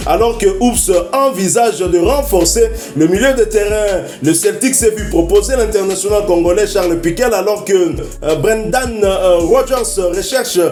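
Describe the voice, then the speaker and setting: A man with a high voice reads a football news roundup in a lilting, sing-song cadence, stopping now and then on fillers. His voice rings in a roomy, echoey space, and faint music plays underneath. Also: moderate pace, hardly any pauses, darkish tone. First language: not English. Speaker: sports news presenter. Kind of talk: read football news bulletin.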